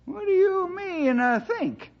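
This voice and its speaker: Folksy old cowboy sidekick, rural Western American, very high swooping voice, vintage radio drama. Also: male voice, lively intonation, slow pace, creaky, heavily acted. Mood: puzzled. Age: older. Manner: folksy, drawling dialect speech. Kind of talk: 1960s radio drama acting. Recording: dull vintage radio recording.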